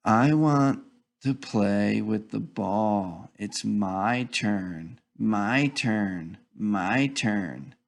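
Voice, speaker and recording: Whiny small child for a picture book, voiced by a man, complaining, drawn-out and slow. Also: on the low side, creaky, heavily acted. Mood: whining. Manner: complaining, nagging, pleading. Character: whiny young child wanting their own way.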